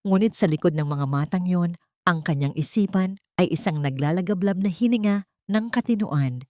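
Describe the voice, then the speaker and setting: Low-voiced Filipino woman narrating drama. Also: moderate pace, a little mellow, dry recording. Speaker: story narrator. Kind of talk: scripted dramatic narration of an emotional Tagalog story.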